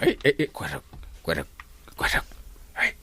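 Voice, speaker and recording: A man's voice: normal speed, fairly bright tone, close to an everyday voice. A man playing a jovial, meddling village captain uncle in an Ilocano radio drama, with an Ilocano accent and a habit of starting phrases with a hesitant "eh". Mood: eager, scheming. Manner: hesitant, repeated "eh" before phrases.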